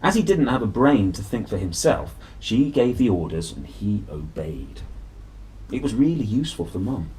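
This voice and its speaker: A man's voice: lowish voice, very animated, moderate pace, a little mellow, low-quality recording. A man reading a children's story aloud expressively, with dramatic emphasis and playful delivery. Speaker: storybook reader. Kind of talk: animated children's story narration.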